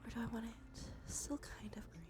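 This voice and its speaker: A woman's voice: a little high, brisk. A woman livestreamer giving whispered ASMR commentary on a game, murmuring as she thinks aloud. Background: music.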